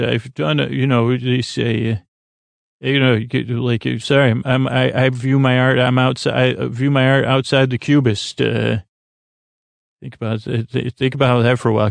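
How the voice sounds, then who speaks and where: A man hosting a bedtime podcast in a meandering, halting monologue, often stammering and correcting himself, with a gravelly, creaky voice. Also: medium pitch, dry recording. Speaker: podcast host. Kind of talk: rambling bedtime-story monologue to help listeners sleep.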